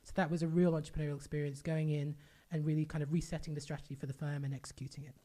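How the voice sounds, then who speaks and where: A woman's voice: deep voice, level tone, racing, dark tone. A woman hosting a podcast, chatty and enthusiastic in a casual conversation. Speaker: podcast host.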